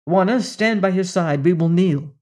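A man reading a brisk English adventurer. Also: high voice, a little mellow, close to an everyday voice. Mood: urgent.

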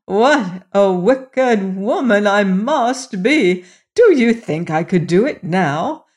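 A woman's voice: mid-range voice, slow, natural voice. A woman voicing a guilt-stricken, fearful wicked queen and stepmother in a storybook, moaning and lamenting in exclamations. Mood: remorseful.

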